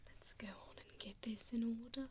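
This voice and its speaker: A woman voicing an evil, possessive female vampire in a breathy ASMR whisper, deliberate and mockingly polite, speaking close into a binaural mic. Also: on the high side, fairly even intonation, a little mellow, close to an everyday voice. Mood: condescending, fussing. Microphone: binaural ear microphone, close up. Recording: close binaural mic with audible background noise.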